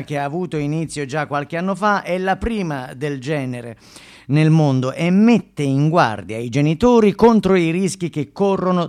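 A man's voice: a little high, natural voice. A man playing a bumbling, upbeat TV news anchor in a stage monologue, announcing emphatically with repeats and stumbles. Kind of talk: mock TV newscast in a stage monologue.

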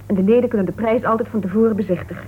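A woman's voice: mid-range voice, very fast, breathy edge. A woman playing a composed but defensive female suspect in a Dutch radio play, answering in a clipped, formal, resigned way. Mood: formal, guarded. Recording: old radio recording, narrow tinny band, hiss.

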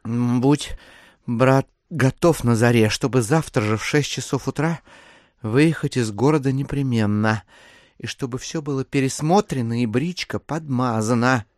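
Man acting a brisk gentleman master, close dry sound. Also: medium pitch, dry recording, close to an everyday voice. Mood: commanding. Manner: brisk, peremptory orders to servants. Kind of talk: theatrical audio drama acting.

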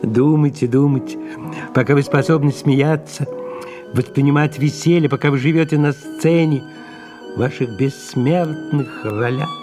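A man acting a world-weary, sardonic aging male writer in exile in an audio play, pausing and drawing out his words. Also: a little high, fairly even intonation, lightly acted. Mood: musing. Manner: slow, drawn-out, pausing, declamatory. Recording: music clearly behind the voice.

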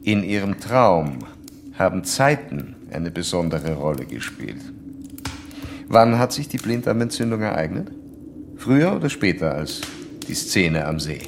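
A man's voice: low voice, sing-song, unhurried, gravelly, noisy background, heavily acted. An actor playing a self-assured male psychoanalyst in a German radio play, didactic and pressing as he questions a patient. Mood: lecturing, probing.

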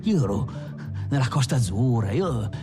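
A man's voice: mid-range voice, sing-song, moderate pace, lightly acted. An actor playing a boastful Italian bank robber who recalls his glory days in colloquial, emphatic monologue. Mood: boastful, wistful. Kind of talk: dramatic monologue, confessional first-person storytelling. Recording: loud music under the voice.

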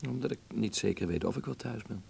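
An actor playing a guarded, observant young stage actor in love, talking in a halting, low-key, husky way in a radio play. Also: male voice, a little mellow, heavily acted. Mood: reflective, defensive. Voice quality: husky, gravelly. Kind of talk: Dutch radio play acting, intimate dialogue.

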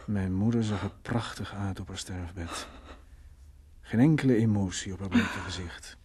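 A man's voice: low voice, a little slow, darkish tone, husky. An actor playing a bitter, brooding drunk man in a Dutch radio play, sardonic, morose and terse in manner. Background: faint music.

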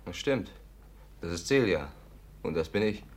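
A man's voice: low voice, animated, measured pace. An actor playing a brash, impatient young man, a heroine's sidekick in a 1950s German radio play. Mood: earnest. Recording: noisy old radio play recording.

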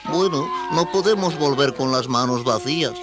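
A man's voice: medium pitch, expressive, moderate pace, music in the background, voice pushed up, lightly acted. A man voicing a small female magic spider in a children's story, a wry, bungling little spellcaster.

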